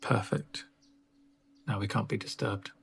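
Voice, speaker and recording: A man speaking softly in an ASMR roleplay as a doctor, with a gentle, reassuring, unhurried manner and a breathy voice. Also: on the low side, a little hiss or hum. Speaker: ASMR performer playing a doctor. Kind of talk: soft-spoken ASMR roleplay.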